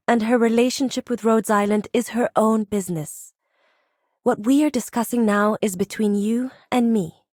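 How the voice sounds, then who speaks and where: Poised, highish-voiced businesswoman. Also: darkish tone, no room sound, clean studio sound. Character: poised, shrewd businesswoman, firm and direct. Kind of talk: scripted game voice acting.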